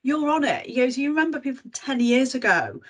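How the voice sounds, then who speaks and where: A woman's voice: high voice, lively intonation, brisk, husky. A woman leading a roundtable talk in a reflective way, often ending her points with tag questions. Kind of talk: casual panel discussion.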